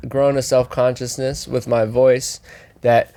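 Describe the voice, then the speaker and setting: A man's voice: mid-range voice, little pitch movement, measured pace, warm tone, no echo. Young guy w a nasal throaty voice, jokey rambly podcast chat, dry mic. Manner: joking, self-mocking, rambling with fillers. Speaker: podcast co-host. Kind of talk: casual chat.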